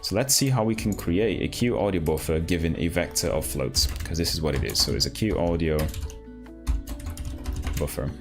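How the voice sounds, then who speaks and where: A man hosting a live coding stream, talking casually and haltingly as he thinks aloud and asks himself questions. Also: low voice, music in the background. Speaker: livestream host, programmer.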